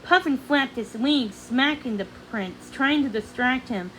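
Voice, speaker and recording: A woman's voice: sits high, a little slow, fairly bright tone, a little hiss or hum, poor audio. A woman narrating a storybook read-along in a dramatic manner, occasionally stumbling over words.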